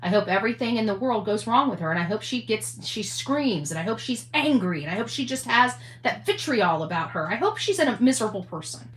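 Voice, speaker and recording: A woman on a casual rant, speaking in a halting, repetitive, emphatic way. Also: mid-range voice, sing-song. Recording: echoey room sound.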